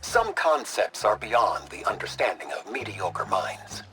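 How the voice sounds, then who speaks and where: A man's voice: on the low side, lively intonation, unhurried, rough recording. An aloof male advisor in a comedic game. He speaks in formal, superior phrasing, pompous and condescending. Music runs behind the voice. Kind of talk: comedic animated game acting.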